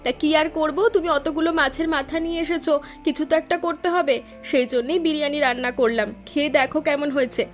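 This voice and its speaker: A woman as a high-pitched, quick, scolding village wife. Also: steady tone, faint music behind, natural voice. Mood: homely, content. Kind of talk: Bengali cartoon voice acting.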